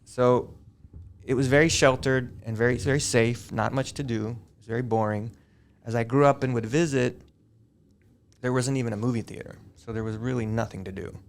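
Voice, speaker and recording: A Canadian-born man with a slight Canadian accent, a teacher chatting as a guest, telling stories in a halting way. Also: medium pitch, steady tone. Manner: chatty, storytelling, often halting. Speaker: high school teacher, guest. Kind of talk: casual interview chat.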